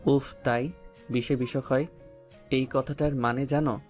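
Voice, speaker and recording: A man's voice: medium pitch, steady tone, measured pace, darkish tone, soft background music, natural voice. A man playing a teasing, stubborn young lover who speaks amorously in a romantic audio story. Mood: amorous, insistent.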